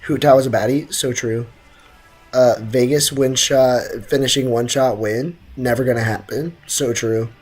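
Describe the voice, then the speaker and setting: Young guy streaming a game, hyped and trash-talking, mid voice, soft game music behind. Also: mid-range voice, unhurried. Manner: excitable, joking, trash-talking. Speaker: gaming streamer. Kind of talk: live game commentary, chatting with viewers.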